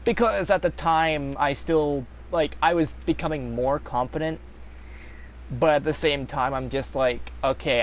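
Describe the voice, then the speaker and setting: A young man talks in a slow, unhurried, rambling way, full of fillers and restarts, with a slight rasp in his voice. His pitch sits in an ordinary middle range. Faint noise hums under the recording. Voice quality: slightly raspy when talking long. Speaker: vlogger. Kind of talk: casual confessional monologue.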